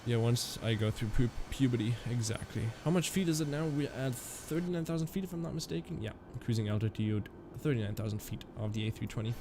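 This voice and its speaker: A man's voice: medium pitch, lively intonation, fairly quick, few pauses, background noise. A man live-streaming a flight simulator, chatting casually with viewers and rambling through fillers and restarts.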